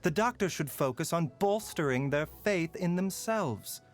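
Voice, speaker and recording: An actor playing a calm, courteous male doctor in a game, with a soft, measured way of talking. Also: a little high, soft background music. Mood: thoughtful. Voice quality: soft, measured, sighs and chuckles. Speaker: game character voice lines. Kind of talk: game voice lines.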